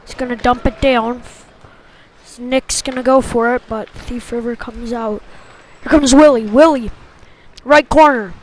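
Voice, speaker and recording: A woman's voice: on the high side, fairly even intonation, a little slow, a little mellow, poor audio. A woman doing live play-by-play hockey commentary in short, clipped phrases that follow the action.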